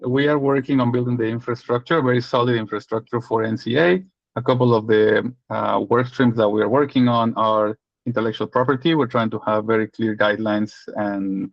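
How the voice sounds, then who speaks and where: A man's voice: medium pitch, no echo. Mexican accent guy on a video call, joking, long rambly sentences, muffled lo-fi sound. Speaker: legal and data protection lead. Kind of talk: casual update on a community video call.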